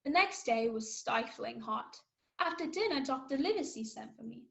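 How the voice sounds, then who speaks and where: Woman playing boy adventurer, radio play narrator, higher pitch, measured, literary, plucky. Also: lively intonation, vocal fry, fairly bright tone. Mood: proud, earnest. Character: plucky boy adventurer telling his own tale.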